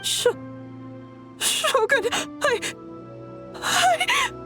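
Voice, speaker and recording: A scared timid game villager girl, super high voice, stammery, music faintly behind. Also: very animated, very slow, exaggerated voice. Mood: frightened, shaken. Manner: stammering, breathless, deferential. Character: timid, frightened young townswoman, superstitious and easily shaken. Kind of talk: video game voice acting.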